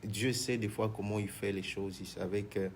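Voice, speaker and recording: A man's voice: on the low side, fairly even intonation, normal speed, slight background noise. A man, a manager and minister answering in a TV talk show interview, hesitant and mixing in Lingala. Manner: hesitant, many restarts, mixes in Lingala.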